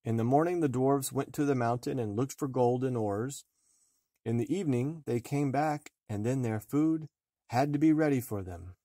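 A man reading a storybook aloud in measured, deliberate narration. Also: medium pitch, warm tone, no room sound, clean studio sound. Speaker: storybook reader, a father. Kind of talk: read-aloud fairy-tale narration.

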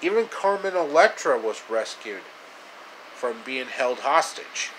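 A man in his thirties narrating a homemade story with halting delivery, recorded at arm's length in a small room. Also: medium pitch, big pitch swings, a bit bright, a little hiss or hum, poor audio. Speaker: storyteller, video creator. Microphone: phone or webcam at arm's length.

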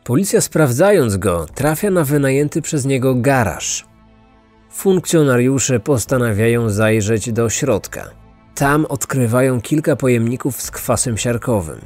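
A man with a mid-pitched voice narrates scripted true crime in measured, dramatic phrases. Faint music runs underneath. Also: a little mellow. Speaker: podcast narrator.

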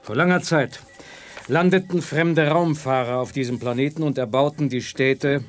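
An actor playing a calm, learned professor who explains in a measured way in a children's sci-fi radio play. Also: male voice, mid-range voice, lightly acted. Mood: explaining.

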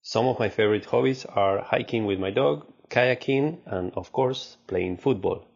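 A man from Argentina tells stories conversationally as a podcast guest. His voice is very low and creaky, and the recording is slightly dull. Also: moderate pace, a little mellow. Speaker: podcast guest, marketing manager. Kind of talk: conversational storytelling.

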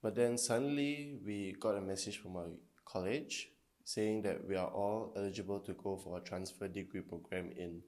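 Young Malaysian man, lowish voice. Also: moderate pace, darkish tone. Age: 20s. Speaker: student. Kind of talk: documentary interview. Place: university room, indoors.

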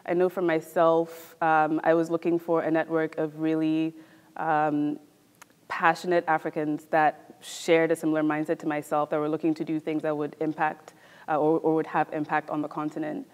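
Low-voiced young woman from Ghana. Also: monotone, moderate pace, a little mellow. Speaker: MBA student. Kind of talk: testimonial to camera. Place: large elegant room indoors. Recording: reverberant room.

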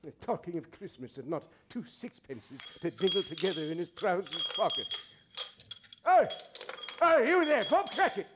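A bitter old miser, swooping high. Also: male voice, very animated, moderate pace, background noise. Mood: irritable, barking. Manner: grumbling, contemptuous, with mocking laughs. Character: bitter old miser, scornful and snappish businessman. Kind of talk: live staged reading of 1930s radio drama. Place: theatre stage, live performance.

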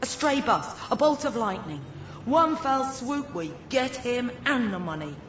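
Woman playing a feisty, animated avenging spirit, roomy sound. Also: fairly high pitch, measured pace, slight background noise. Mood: indignant, vengeful. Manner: indignant, sarcastic, impulsive. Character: feisty, vengeful female spirit crime-fighter, eager subordinate. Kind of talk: audio drama acting. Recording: muffled, roomy audio drama, loud music.

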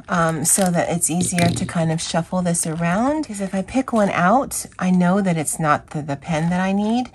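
A hoarse woman with a scratchy voice hosting a chatty, rambling coloring vlog. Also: lowish voice. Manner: chatty, rambling, with fillers and self-corrections. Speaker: craft channel host. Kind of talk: casual coloring vlog chat.

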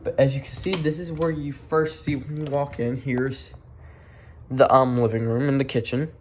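A man's voice: mid-range voice, little pitch movement, moderate pace. A young man giving an offhand, rambling house tour in casual vlog style, talking into a handheld phone. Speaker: vlogger. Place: walking through a house. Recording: noisy handheld phone recording with clicks.

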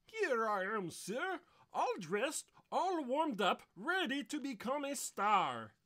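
Whiny, high-pitched eager understudy. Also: male voice, very animated, takes it slowly, pushed and bright, heavily acted. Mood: eager, showy. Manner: showy, whining. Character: eager, whiny understudy male opera singer.

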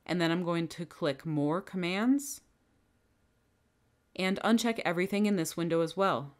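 A woman with a low-pitched voice guides the listener through a software setup one click at a time. Her delivery is clear and instructional, recorded close on a desk microphone. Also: unhurried. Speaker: software trainer. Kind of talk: screen-recorded software tutorial. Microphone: headset or bench microphone.

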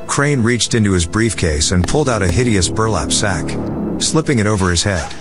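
Low-voiced male narrator over loud music. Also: expressive, moderate pace. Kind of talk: scripted story narration. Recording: loud dramatic music behind.

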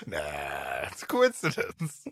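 Lively, bright-voiced man reading aloud. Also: very deep voice, slow, breathy edge, no room sound. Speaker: comedy podcast co-host. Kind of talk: dramatic reading of an old story with comic banter.

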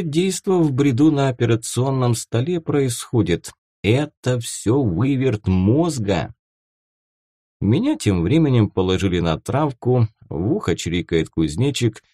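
A man's voice: mid-range voice, mellow, no room sound, studio quality. A man reading first-person fiction as a scripted audiobook narration.

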